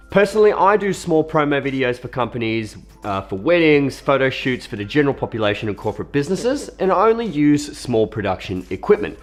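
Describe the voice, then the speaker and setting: A young Australian man talks straight to his viewers in a relaxed, upbeat way, his voice a little croaky from a cold. His pitch moves a lot and sits in a middle register, and faint music plays behind him. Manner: chatty, upbeat, with fillers and asides. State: hoarse from a cold. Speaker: video creator reviewing camera gear. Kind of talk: casual talk to camera.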